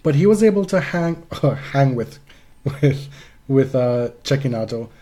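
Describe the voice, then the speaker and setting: Male podcast co-host, soft-edged mellow tone, emphatic and salty-tongued, casual opinionated sports talk. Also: medium pitch, animated, a little slow. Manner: emphatic, frequent profanity.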